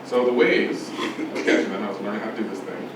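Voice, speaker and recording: A soft-spoken man in his fifties giving a personal speech at a podium, halting with many fillers and restarts. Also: on the low side, big pitch swings, music in the background. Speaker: conference speaker. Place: conference hall. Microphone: podium microphone. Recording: echoey hall from far off, with clatter of dishes.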